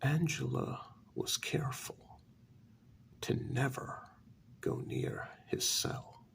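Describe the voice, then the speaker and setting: A man telling a story in a soft-spoken ASMR style, breathy and deliberate, with many dramatic pauses. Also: mid-range voice, fairly even intonation. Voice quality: breathy half-whisper with heavy creak. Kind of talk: soft-spoken ASMR story narration, first-person role-play.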